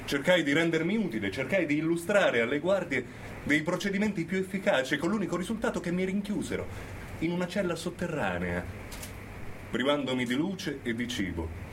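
A man's voice: fairly high pitch, lively intonation, fairly quick, slight background noise, natural voice. An actor playing a bitter, educated, middle-aged male prisoner, brooding and insistent, in dramatic radio theatre. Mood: grave, defiant.

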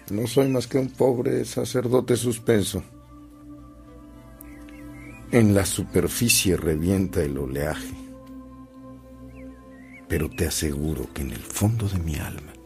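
An actor playing a humble, devout priest in a radio drama, measured and resigned. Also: male voice, low voice, creaky, a little mellow, exaggerated voice. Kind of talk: Spanish-language radio drama acting.

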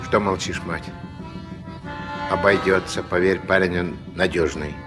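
Gruff old boat captain grandpa, low voice, curt orders, soviet radio drama, music behind. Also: male voice, steady tone, measured pace. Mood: gently reassuring. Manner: curt, commanding. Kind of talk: Soviet radio play acting.

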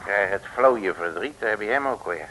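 A man's voice: on the low side, animated, normal speed, bright, forceful tone. A man playing a cheeky, boisterous detective with folksy slang and jovial bluster in an old comic radio serial. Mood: grumbling, fed up. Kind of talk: 1950s Dutch comic radio serial acting.

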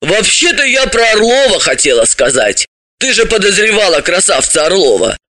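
This is a good-natured woman company boss in an audiobook, voiced by a man. The voice is very bright and lively, reacting with curiosity and ready surprise in a conversational way. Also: high-pitched, moderate pace, no room sound, pitched above the performer's own voice, exaggerated voice. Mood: curious. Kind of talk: audiobook character voice.

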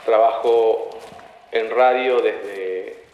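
A man's voice: lowish voice, fairly even intonation, drawn-out words, highs cut off. A man in his forties with an Argentine accent introducing himself. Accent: Argentine Spanish. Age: 40s. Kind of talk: self-introduction. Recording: echoey and distant with noise.

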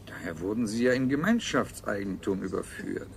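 An actor playing a callous, domineering colony ruler in a radio play, formal, deliberate and dispassionate. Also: male voice, lowish voice, lively intonation, vocal fry. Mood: callous, matter-of-fact. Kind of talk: 1970s German radio play acting.

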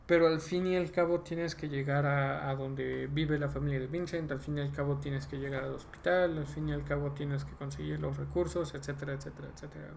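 Slangy young Mexican man, higher-pitched voice. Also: little pitch movement, brisk, vocal fry, few pauses, slight background noise. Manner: slangy, frequently profane. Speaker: podcast host. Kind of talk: casual chat about video games.

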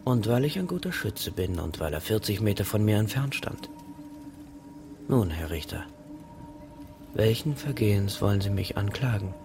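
An unrepentant marksman in a radio drama. His low voice is deliberate and defiant, offering no apology. Music is clearly audible behind him. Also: very animated. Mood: cold, defiant. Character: unrepentant, defiant male marksman confessing a killing. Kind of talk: German radio drama acting.

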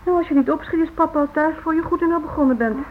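A woman's voice: high voice, racing, natural voice. Lady doing a bossy busy housewife mom in an old radio drama, muffled, bit noisy. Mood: brisk, chiding. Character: brisk, practical, mildly exasperated housewife and mother. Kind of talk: radio drama acting, domestic dialogue. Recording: dull old radio, faint noise.

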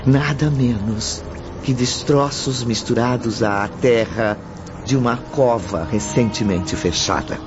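A man's voice: mid-range voice, slow pace, background noise. Solemn male radio drama narrator. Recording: dull recording under loud music.

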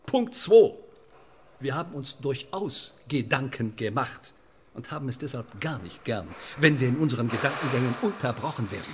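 An actor in a German radio drama playing a pompous, pedantic sheriff who interrogates in stiff officialese. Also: male voice, medium pitch, lively intonation, normal speed, a little hiss or hum, close to an everyday voice. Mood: pompous, piqued.

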